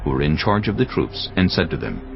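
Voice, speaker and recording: A man narrating scripture in a measured, solemn storytelling style, as in a dramatized audio Bible. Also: rumbling low, sing-song, gravelly. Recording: slightly muffled, music behind. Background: background music.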